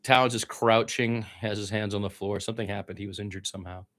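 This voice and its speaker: A man's voice: lowish voice, steady tone, brisk, fairly bright tone. A man giving informal radio-style basketball play-by-play, with blunt, conversational and opinionated asides. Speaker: play-by-play sports commentator.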